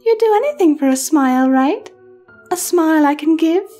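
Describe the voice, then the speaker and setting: A woman's voice: sits high, animated, normal speed, warm tone, soft background music, pitched above the performer's own voice. A woman voicing a mysterious unicorn mare in a horror story, melodious, honeyed and teasing, with a singsong way of tempting. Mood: sinister, teasing. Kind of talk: horror fan fiction reading.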